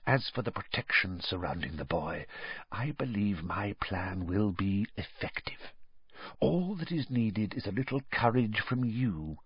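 A man reading an audiobook and voicing a sickly, cold, menacing dark lord who talks in a hissing whisper. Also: mid-range voice, slightly rough, dry recording, dull audio, pitched above the performer's own voice, heavily acted.

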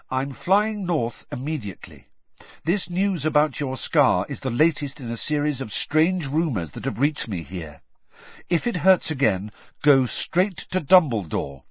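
A man reading aloud an urgent, protective letter in a storybook, in a measured way. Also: medium pitch, slightly rough, no echo, dull audio, pitched above the performer's own voice. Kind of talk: letter read aloud in an audiobook.

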